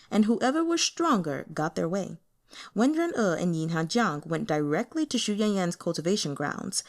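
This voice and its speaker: A woman's voice: lowish voice, a little mellow. A woman reading fiction aloud as an audiobook narrator in a scripted storytelling style.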